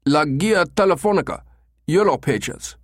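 A man's voice: on the high side, expressive, unhurried, no echo, studio quality, pitched above the performer's own voice. A man voicing a bumbling, over-eager German tourist in an audiobook story, blurting broken English with a German accent. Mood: flustered, insistent.

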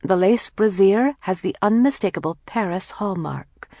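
A woman's voice: medium pitch, animated, normal speed, dark tone, dry recording, clean studio sound, pitched above the performer's own voice. A woman voicing a sarcastic, dramatic teenage older sister in an audiobook, with grandly theatrical delivery. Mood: grandly reading. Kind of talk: acted character dialogue in audiobook.

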